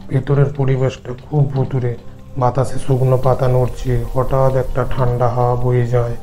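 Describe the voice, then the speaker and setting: A male narrator reading a scripted horror tale. His voice is mid-pitched and bright, with little variation in pitch, over faint music. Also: completely flat delivery, normal speed.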